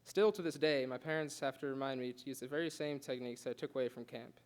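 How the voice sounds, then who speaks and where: Young male student, quick delivery, earnest speech with a light stutter. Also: medium pitch, clear, resonant tone. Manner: earnest, with occasional stutters and restarts. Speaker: student giving a class speech. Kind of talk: prepared speech read aloud.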